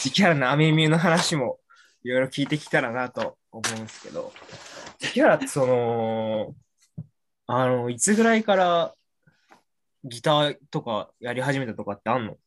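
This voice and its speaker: A man's voice: medium pitch, a bit bright. Easygoing male host, faint noise. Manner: prompting questions, frequent agreeable backchannels. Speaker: podcast host. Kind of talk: casual podcast chat.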